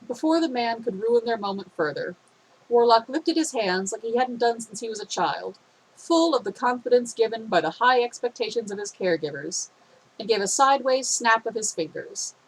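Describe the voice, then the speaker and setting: A woman reading a fan fiction story aloud in a steady, expressive way, her voice a little phlegmy. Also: fairly high pitch, moderate pace, slightly rough, rough recording.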